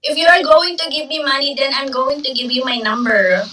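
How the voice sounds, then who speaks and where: A woman's voice: high voice, steady tone, fairly quick, bright, forceful tone, distant mic, a little hiss or hum. A woman from the Philippines, teasing and playful on a casual video call.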